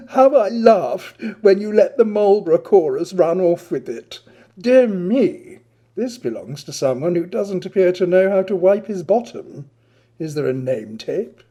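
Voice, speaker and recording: A man's voice: high-pitched, mellow, voice pushed up, full character voice. A man voicing a flamboyant, witty teenage public schoolboy with a florid, affected, theatrical delivery for a novel reading.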